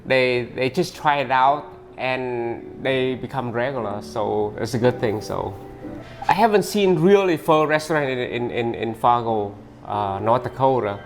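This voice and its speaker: A man who owns a pho restaurant, talking in an interview in halting English with many restarts. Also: medium pitch, soft background music. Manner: halting, restarts often, non-native English phrasing.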